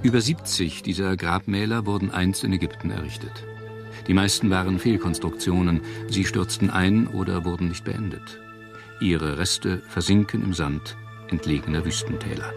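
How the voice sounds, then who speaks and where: A man reads scripted documentary narration in a measured, solemn storytelling manner. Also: low-pitched, vocal fry, over music.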